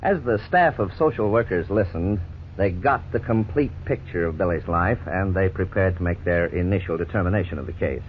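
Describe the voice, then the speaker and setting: A man playing an authoritative lawyer who narrates a case history in an earnest, measured 1950s radio style. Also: low-pitched, expressive. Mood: earnest, explanatory. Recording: tinny, noisy 1950s radio recording.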